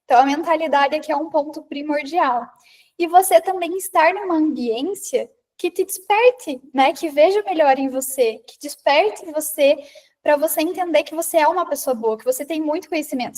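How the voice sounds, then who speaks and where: A woman's voice: sits high, moderate pace, a little mellow. A woman from the interior of Paraná with a Paraná accent, giving a conversational talk that addresses listeners directly, often tagging her sentences with a questioning "né?". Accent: Paraná interior Brazilian Portuguese. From Paraná, Brazil. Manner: conversational, frequent rhetorical "né?"; addresses listeners directly, reads out their comments. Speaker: speaker on public presence and confidence. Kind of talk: live online talk to a women's group.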